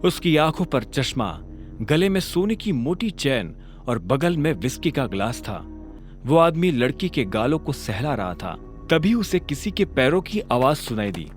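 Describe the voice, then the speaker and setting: A man's voice: mid-range voice, big pitch swings, a little slow. A man narrating a scripted audio drama in a dramatic storytelling style. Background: music.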